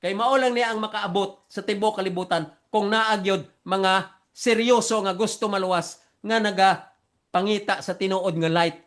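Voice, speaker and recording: A Filipino man whose first language is Visayan, preaching and teaching theology online in simple, halting English with earnest repetition. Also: high voice, steady tone, fairly bright tone. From Philippines.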